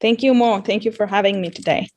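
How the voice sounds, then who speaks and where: A woman's voice: mid-range voice, quick pace, a little mellow. A woman in her thirties from Iran, raised in Dubai, speaking earnestly as a guest in an interview about founding her company, often repeating words as she restarts. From Iran, grew up in Dubai. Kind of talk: casual interview.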